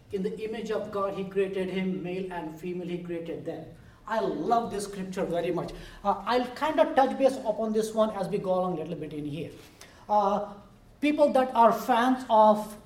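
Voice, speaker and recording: A man with an Indian accent, a biology professor from India, giving a chatty, self-deprecating university lecture. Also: high voice. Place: lecture room. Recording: roomy hall, heard from far.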